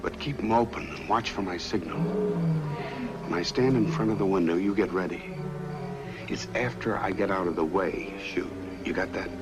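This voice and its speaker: An actor playing a calm, shrewd private detective in a 1950s film noir, with an unhurried, wry delivery. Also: male voice, deep voice, loud music behind the voice, lightly acted. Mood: instructing. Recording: noisy old film soundtrack.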